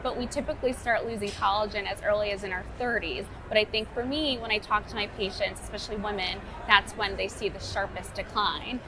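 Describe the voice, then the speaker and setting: A woman dermatologist on a live panel, explaining in a friendly, clear way. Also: medium pitch, steady tone, fairly quick, hardly any pauses. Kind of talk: panel discussion. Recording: live event with clear noise.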